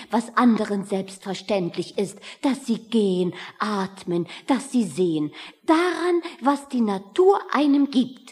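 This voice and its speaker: Radio play: an effusive moralizer, animated delivery, middle pitch, earnest, long reverb around the voice. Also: female voice, breathy edge. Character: effusively admiring, moralizing woman in an absurd satire. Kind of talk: satirical radio play acting.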